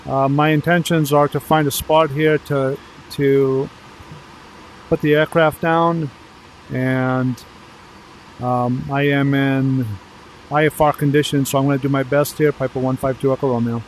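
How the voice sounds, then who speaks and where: A man thinking aloud haltingly as he plays a pilot in a flight simulator, mixing commentary with radio calls. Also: medium pitch, steady tone, a little hiss or hum, rough recording.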